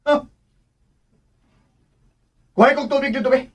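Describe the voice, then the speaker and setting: Irritable rich man's son, high pitch, curt and indignant, radio drama, off-mic distance. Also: wildly swooping pitch, a little slow, soft background music, full character voice. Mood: curt, annoyed. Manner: curt, indignant challenging questions.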